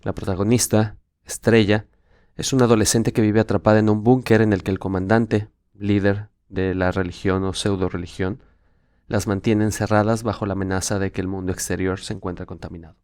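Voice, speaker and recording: Low-pitched man hosting a literary podcast. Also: little pitch movement, fairly quick, darkish tone, clear, resonant tone, no room sound, clean studio sound. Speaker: podcast host and interviewer. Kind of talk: scripted literary narration and interviewing.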